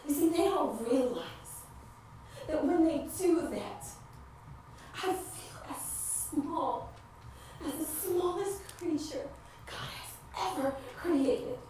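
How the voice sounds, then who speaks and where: A woman's voice: sits high, animated, mellow. A woman playing an anguished married woman grieving her infertility in a raw, dramatic stage monologue. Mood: hurt, frustrated. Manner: dramatic, slow pauses, emotionally raw. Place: indoor stage theatre. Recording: echoey stage hall, distant mic, faint noise.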